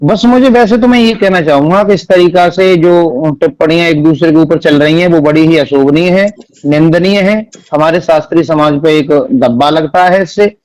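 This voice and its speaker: A man gives interview answers on a call in a fairly high voice. He phrases things formally and with measure, using learned religious vocabulary. The recording is close and dry. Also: a little mellow, no echo, rough recording. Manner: formal, measured, Sanskritised wording. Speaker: religious singer-storyteller interviewed by phone.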